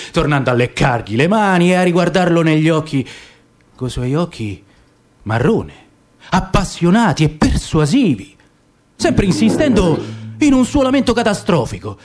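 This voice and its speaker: A man giving a dramatic, theatrical reading of literary prose as the narrator of a radio theatre piece. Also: fairly high pitch. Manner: expressive, theatrical storytelling.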